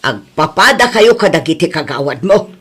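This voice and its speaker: A woman's voice: sits low, very animated, moderate pace, fairly bright tone, slightly rough, full character voice. A woman with an Ilocano accent playing an outspoken, scolding village woman, blunt and firm, in an audio drama. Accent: Ilocano, northern Philippines. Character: scolding, outspoken village woman who stands her ground.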